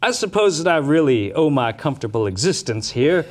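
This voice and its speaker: A man playing a smooth, self-justifying space rogue turned betrayer in a comic script reading. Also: a little high, very animated, a little fast. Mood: smug, explaining.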